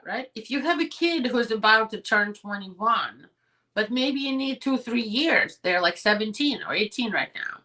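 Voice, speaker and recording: Hoarse bright-voiced woman lawyer talking fast. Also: a little high, poor audio. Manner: quick, conversational, addresses viewers directly with "right?" and "okay?". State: losing her voice. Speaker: immigration lawyer. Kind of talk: explanatory video talk to viewers. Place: home office, indoors.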